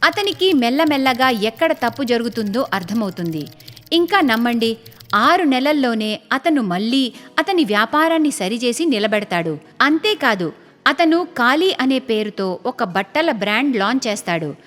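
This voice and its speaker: A woman's voice: a little high, very animated, normal speed, a bit bright. A woman narrating a scripted cartoon storybook tale. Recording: long reverb, music behind. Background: background music.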